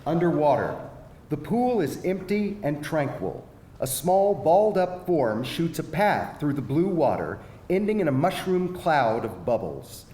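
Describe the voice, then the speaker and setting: A man's voice: on the high side, very animated, unhurried, dark tone. A man narrating stage directions in a live screenplay reading. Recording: echoey hall, faint background noise.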